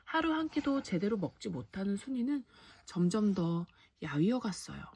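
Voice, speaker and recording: A woman's voice: medium pitch, big pitch swings, moderate pace, a little mellow, slightly rough, no room sound. A woman narrating a children's folktale expressively, as a mother reading at bedtime. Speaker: storybook reader, a mother.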